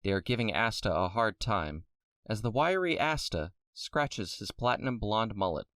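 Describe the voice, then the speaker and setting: A man narrates an audio drama from a script. His voice is on the low side, recorded close and dry. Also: animated, moderate pace, fairly bright tone, clear, resonant tone, no echo.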